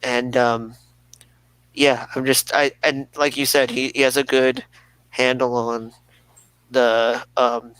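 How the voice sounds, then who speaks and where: A man with a smooth, clear voice at a medium pitch chats casually as a talk show co-host. His speech is spontaneous and conversational, and the sound is dry, with no room echo. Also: little pitch movement, slow pace, long pauses, fairly bright tone. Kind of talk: casual unscripted chat.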